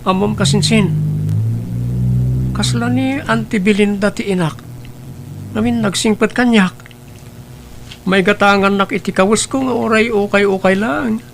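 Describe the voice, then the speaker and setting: An actor playing a polite, wistful young student in an audio drama, with a plaintive way of talking. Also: male voice, high-pitched, unhurried, vocal fry, pauses often, slight background noise. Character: wistful, polite teenage student nephew living with his aunt. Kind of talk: Ilocano audio drama acting.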